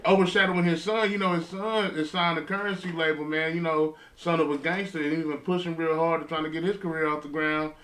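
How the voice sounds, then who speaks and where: A man's voice: fairly high pitch, steady tone, quick pace, few pauses, a bit bright. A man talking informally as a podcast panelist, in casual, slangy phrases. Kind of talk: casual chat.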